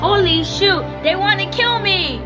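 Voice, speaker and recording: Woman as tiny, very high-pitched cartoon reindeer. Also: unhurried, highs cut off. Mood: alarmed. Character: small, naive talking reindeer doctor. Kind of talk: anime parody fan dub. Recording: loud music behind the voice.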